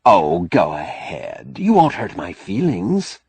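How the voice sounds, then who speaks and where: A man's voice: deep voice, wildly swooping pitch, slow, darkish tone, dry recording, full character voice. A man voicing a cheerful, brassy middle-aged woman from a novel, with a folksy, affectionate, teasing manner. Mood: coaxing. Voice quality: husky.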